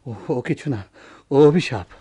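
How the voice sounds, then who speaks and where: A man's voice: mid-range voice, expressive, measured pace, dark tone, breathy edge. A man playing an ailing, brooding village doctor in a radio drama, speaking in a weary, literary, declamatory manner with groans. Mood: anguished. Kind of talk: Bengali radio drama acting, poetic monologue.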